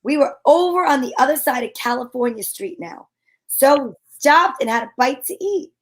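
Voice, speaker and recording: Woman, high voice, wisecracking sleuth, old-time radio play, brisk first-person narration. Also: lively intonation, slight background noise. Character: wisecracking female amateur sleuth.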